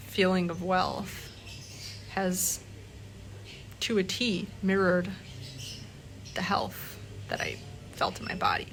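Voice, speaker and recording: A woman talking casually to her vlog audience in a reflective, halting way, often pausing between short phrases. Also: fairly low pitch, a bit bright. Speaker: vlogger. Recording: clearly noisy background ambience.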